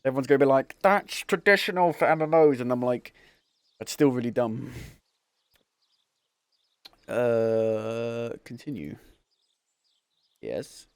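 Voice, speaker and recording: A man's voice: mid-range voice, slow pace, pauses often, clear, resonant tone, no echo. A man casually commenting as he starts playing a game on stream, rambling and chuckling at himself. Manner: rambling, chuckling, self-deprecating. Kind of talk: casual let's-play commentary.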